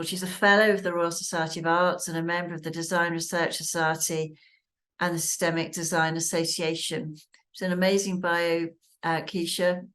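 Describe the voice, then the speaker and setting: A woman hosting an online webinar, introducing speakers with many pauses and restarts. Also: on the low side, a bit bright. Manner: hesitant, many restarts and fillers. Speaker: webinar host.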